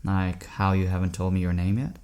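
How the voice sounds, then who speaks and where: A man's voice: deep voice, flat delivery, fairly quick, darkish tone. An actor playing a weary, affable man driving at night in an audio drama, conversational, hesitant and wry. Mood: wry, friendly. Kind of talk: audio drama acting, narration and dialogue.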